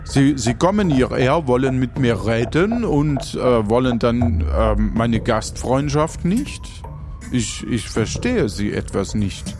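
A man playing a hospitable but easily offended man-eater in an improvised audio drama, speaking formally and haltingly. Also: mid-range voice, very animated, over music. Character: touchy, hospitable male man-eater serving dubious food. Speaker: game master.

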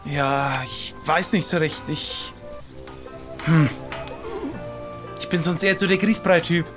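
A high-pitched man acting as a pirate captain for an audio adventure. He is chatty and excitable, easily flustered, and repeats his words. Music plays softly behind him. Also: slow. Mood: hesitant. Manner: talkative, excitable, hesitant repetitions. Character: chatty, skittish pirate ship driver and chili lover. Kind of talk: improvised audio adventure play.

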